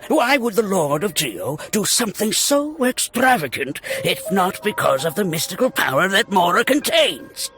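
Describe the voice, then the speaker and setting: A hot-headed arguer in a video game. His high, sharp voice swings widely and pushes forcefully, with faint music behind. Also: big pitch swings, normal speed, hardly any pauses, heavily acted. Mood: indignant, challenging. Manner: forceful, challenging. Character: indignant, hot-headed male debater. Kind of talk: scripted video game dialogue.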